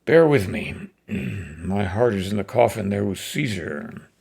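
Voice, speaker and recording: A grieving, persuasive Roman orator, recited by a man in a slow, deliberate delivery. The voice is gravelly and swings widely in pitch, rising and falling in loudness as he declaims. It sounds like a dramatic stage recitation. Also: expressive, heavily acted. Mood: mournful. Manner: declamatory, with sudden swells and drops in loudness. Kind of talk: dramatic recitation of a classic play speech.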